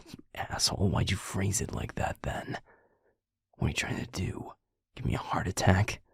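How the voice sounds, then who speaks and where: A man playing a gruff but tender, easily flustered young werewolf boyfriend in an intimate audio roleplay, speaking in a hushed, halting, husky voice. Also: sits low, no room sound, exaggerated voice. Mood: amused, flustered. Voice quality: breathy, husky, gravelly. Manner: hushed, halting, grumbling affectionate swearing.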